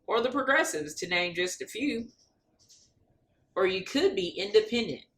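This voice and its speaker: A woman reads a storybook to children in a bright, fairly high voice. Her intonation swings widely and she takes her time, delivering each line expressively and deliberately. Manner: expressive, deliberate, addressing young listeners with questions. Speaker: storybook reader. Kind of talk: animated children's read-aloud.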